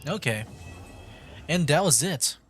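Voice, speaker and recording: A man giving casual live-stream game commentary, thinking aloud in a rambling, halting way. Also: a little high, expressive, fairly bright tone, slightly rough. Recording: game music behind the voice.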